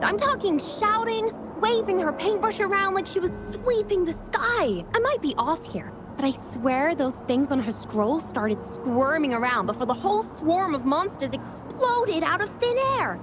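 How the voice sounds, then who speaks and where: A woman playing an earnest, spirited young woman in a video game, talking in an expressive, colloquial way. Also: very high-pitched, moderate pace, few pauses, background noise. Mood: excited, rattled. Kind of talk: video game character dialogue.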